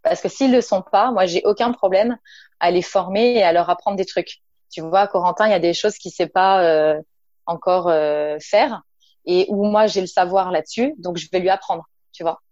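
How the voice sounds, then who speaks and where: A woman from the south of France talks casually in an interview, full of fillers and friendly asides. Her voice sits on the low side. The recording is dry and somewhat muffled. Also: normal speed, a little mellow, no room sound. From Nice, south of France. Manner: chatty, many fillers, addresses listener informally. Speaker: naturopath and entrepreneur, guest.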